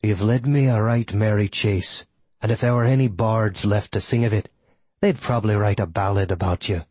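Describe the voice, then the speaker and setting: A man's voice: fairly low pitch, steady tone, moderate pace, darkish tone, husky, no echo. A man voicing an arrogant, wrathful god, a sneering, pompous and menacing villain in a fantasy audiobook. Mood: sneering rage.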